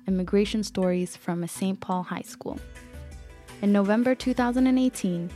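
A woman's voice: mid-range voice, warm tone. Woman hosting a podcast over music. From Ethiopia. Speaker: podcast host. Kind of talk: scripted podcast narration. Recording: music under the voice. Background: background music.

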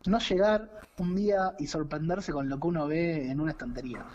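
A man's voice: on the high side, fairly quick, darkish tone, slight background noise. A man with an Argentine accent giving conversational commentary as a podcast co-host. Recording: dull, lo-fi voice audio.

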